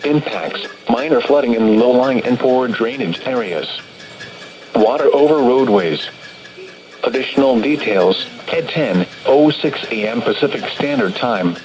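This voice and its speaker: An automated-sounding man with an American accent reads scripted emergency weather bulletins in a formal, impersonal, stiffly segmented way over radio. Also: medium pitch. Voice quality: synthetic text-to-speech sound. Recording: noisy weather radio broadcast reception.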